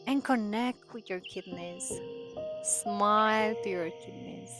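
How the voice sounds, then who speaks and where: A woman guides a meditation at a very unhurried pace. Her delivery is gentle and soothing, in short drawn-out phrases separated by long pauses. Music plays clearly behind her voice. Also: medium pitch. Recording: voice over prominent background music.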